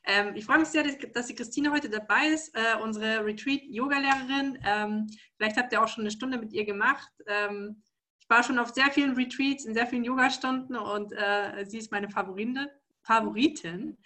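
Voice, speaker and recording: A woman's voice: on the high side, normal speed, bright, forceful tone. A woman hosting an interactive group talk, introducing her guest with many fillers and restarts. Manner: friendly, hesitant with many fillers. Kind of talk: casual interview moderation.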